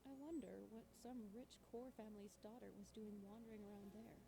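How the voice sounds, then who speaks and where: Casting: resolute, wry woman investigator for a cyberpunk visual novel; mid-pitched, measured, expressive scripted narration. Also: slightly rough, dry recording. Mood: reflective, resolved. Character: determined, wry female investigator in a cyberpunk slum. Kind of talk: scripted first-person narration.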